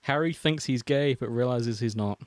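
A young man co-hosting a podcast, reading fan fiction aloud with deadpan, mocking asides. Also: medium pitch, normal speed, dry recording. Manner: wry, deadpan, dips into mocking quotes. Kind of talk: casual chat and comic dramatic reading.

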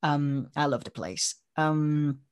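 A man's voice: fairly high pitch, slow, clean tone, no room sound, studio quality. A man from Spain with a Spanish accent, chatting casually as an interview guest. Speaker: interview guest, audio drama creator.

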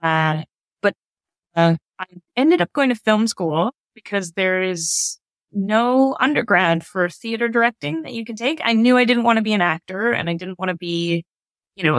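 A woman's voice: fairly low pitch, no echo. A woman speaking as an interview guest, a theater director talking conversationally.

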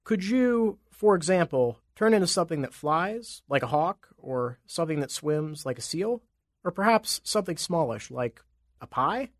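A man's voice: fairly high pitch, very animated, normal speed, a bit bright, dry recording, studio quality. A man voicing an imaginative young boy in a storybook tale, polite and coaxing in manner. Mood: soft, coaxing.

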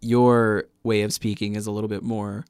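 A man hosting a casual podcast, talking in a relaxed, chatty way and laughing often. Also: lowish voice, normal speed, smooth, no room sound, clean studio sound. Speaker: podcast host.

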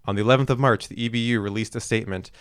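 A man's voice: lowish voice, fairly even intonation, racing, clear, resonant tone, no room sound, clean studio sound. A man co-hosting a casual podcast, reading his notes and chatting with hesitant fillers and restarts. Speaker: podcast co-host.

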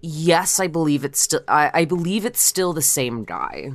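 A woman's voice: deep voice, very animated, moderate pace, a crowd in the background. A woman co-hosting a podcast, explaining things casually with some hesitation and swearing. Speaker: podcast co-host. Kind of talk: casual chat.

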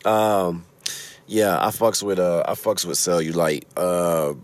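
A podcast host with a Chicago accent rambles through a loose, profane monologue while sitting in his car. His voice sits on the low side for a man, and he laughs often and swears freely. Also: takes it slowly, dry recording. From Chicago, USA. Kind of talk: casual solo podcast monologue.

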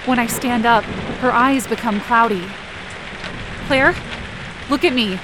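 A woman's voice: on the high side, unhurried, background noise, lightly acted. A woman playing an anxious, injured young camp counselor, narrating a first-person audio drama in a tense, dramatic way. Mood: concerned. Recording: rain and ambience behind the voice. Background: rain and outdoor ambience effects.

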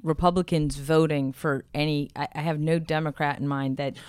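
A man with a smooth, clean voice who muses on what might happen in a careful, measured way. The sound is dry and close. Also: on the high side, flat delivery, darkish tone, no echo. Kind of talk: casual political chat.

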